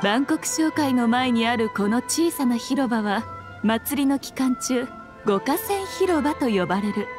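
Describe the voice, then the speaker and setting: A woman's voice: on the high side, animated, measured pace, music in the background. A woman playing an elegant, teasing ancient fox shrine maiden in a Japanese anime game, with archaic, haughty, self-assured speech. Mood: informative.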